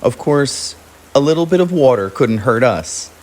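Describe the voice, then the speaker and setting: A man hosting a children's read-aloud, narrating from a script in a measured, clearly phrased way. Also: mid-range voice, expressive, darkish tone.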